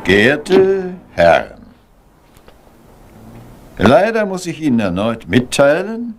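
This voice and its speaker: An actor playing a courteous, meticulous private detective in a German crime radio drama, speaking formally. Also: male voice, fairly high pitch, huge pitch swings, slow pace, soft background music, exaggerated voice. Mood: fussy, exacting. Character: fussy, courteous middle-aged male private detective.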